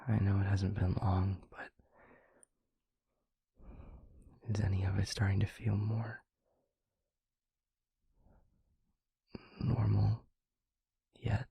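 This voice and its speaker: A man playing a gentle, protective vampire boyfriend in an intimate ASMR roleplay, speaking softly and reassuringly in a breathy half-whisper with hesitant pauses. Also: lowish voice, level tone, no room sound, highs cut off, full character voice. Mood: concerned, tender.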